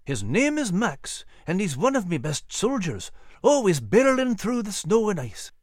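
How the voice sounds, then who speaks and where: A man's voice: high voice, big pitch swings, normal speed, no echo, studio quality, voice pushed up. A man voicing a kindly, grave old Scottish sergeant in a storybook, with folksy old-fashioned soldierly phrasing. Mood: genial, proud.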